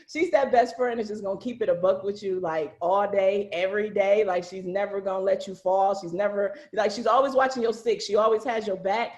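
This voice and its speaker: A woman's voice: a little high, lo-fi sound. A woman hosting a casual group video-call chat, talking in a chatty, slangy way with frequent laughter. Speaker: host and cast member of an audio series.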